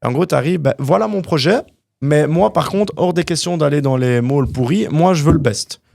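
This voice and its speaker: A young man from Canada, a guest entrepreneur telling his story in a casual interview, animated and full of fillers. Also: a little high, normal speed, vocal fry, no room sound, clean studio sound. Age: young adult.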